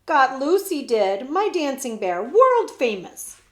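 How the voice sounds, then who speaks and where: A woman voicing a bold barefoot teenage girl who talks in a high, rustic sing-song. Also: big pitch swings, slow, echoey room, exaggerated voice. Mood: brassy.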